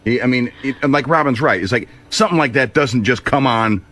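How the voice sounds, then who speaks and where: A man's voice: medium pitch, fairly quick, a bit bright, slight background noise. A man on a radio show's staff, giving his view in a casual studio discussion. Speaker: radio show staff member.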